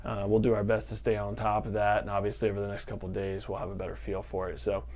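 Casting: man, 30s to 40s, fairly low voice, casual conversational presenter for outdoor-sports reports, unscripted feel. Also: completely flat delivery, fast, darkish tone. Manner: informal, conversational. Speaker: fly shop forecast presenter. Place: fly fishing shop, indoors. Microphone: lavalier clipped at collar.

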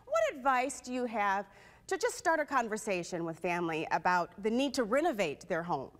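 A woman's voice: medium pitch, very animated, a little fast, big-room sound. A young woman anchoring a local TV news segment, bubbly and effusive as she interviews guests in a studio.

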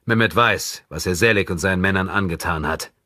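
A man voicing a grim, forceful male warrior in an audiobook, loud, growling and emphatic. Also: sits low, fairly quick, no room sound, studio quality. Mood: grimly amused. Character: gruff male warrior, forceful and grimly commanding. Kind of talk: audiobook character dialogue.

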